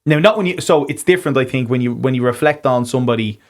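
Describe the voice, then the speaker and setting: An Irish man hosting a podcast, talking in a relaxed, casual way, swearing freely and restarting his sentences. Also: mid-range voice, fast. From Ireland. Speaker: podcast host. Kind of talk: casual conversation.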